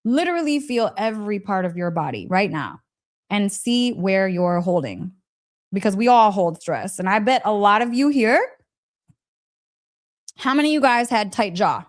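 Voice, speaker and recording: A woman's voice: mid-range voice, lively intonation, moderate pace. A woman giving a casual, motivational wellness talk as a live coach, conversational and emphatic, often checking in with her listeners. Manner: conversational, emphatic, frequent check-in tags, breaks into laughter.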